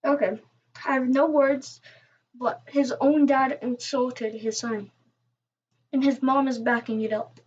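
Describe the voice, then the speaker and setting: A woman's voice: sits high, steady tone, a little slow, a little mellow, dull audio, slight background noise. A woman giving casual, off-the-cuff reaction commentary as the host.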